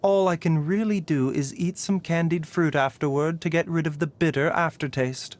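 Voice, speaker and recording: An actor voicing a genteel, scholarly male physician for a game, frail in health, speaking in a polite, measured, gently wry way. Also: fairly high pitch, steady tone, lightly acted. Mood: courteous, reflective. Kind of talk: scripted game character voice lines.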